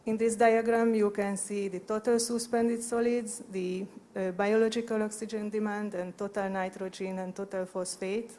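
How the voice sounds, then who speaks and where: A woman's voice: mid-range voice, little pitch movement, normal speed, hardly any pauses, dark tone, a little hiss or hum. A woman in her thirties with a Hungarian accent gives a conference presentation in hesitant non-native English into a handheld microphone. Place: conference hall at a lectern. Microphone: handheld microphone held at chest level.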